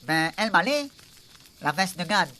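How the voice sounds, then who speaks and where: A woman's voice: fairly low pitch, measured pace, pushed and bright. A woman playing a brash, dim-witted man with a Réunion Creole accent, blustery and clowning in a comic radio serial.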